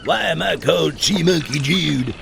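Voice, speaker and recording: A man's voice: fairly high pitch, moderate pace, creaky, noisy background. A man playing a hapless, grumbling young pirate deckhand dog in a comic audio drama, hesitant and whiny. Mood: bewildered. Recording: music under the voice.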